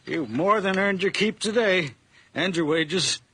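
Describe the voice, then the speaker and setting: An actor playing a kind, hesitant older farmer in a radio drama, gentle and fond of his hired man. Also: high-pitched, expressive, measured pace, husky, exaggerated voice. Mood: kindly. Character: kindly aging male farmer, employer. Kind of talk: 1980s radio drama acting. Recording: vintage radio broadcast with faint noise.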